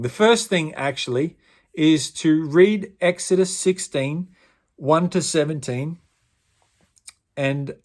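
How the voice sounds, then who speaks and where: Relaxed pastor explaining tasks. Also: male voice, fairly high pitch. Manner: relaxed, conversational, with fillers and restarts. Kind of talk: instructional talk explaining an honour's requirements.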